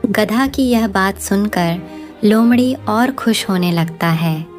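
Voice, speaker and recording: A woman's voice: medium pitch, slow pace. A woman narrating a scripted children's story as the storyteller. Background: plucked string music.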